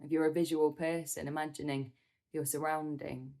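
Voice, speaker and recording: A woman leading a guided meditation in a soothing, gentle manner, with measured instructions and pauses. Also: low voice, flat delivery, gravelly.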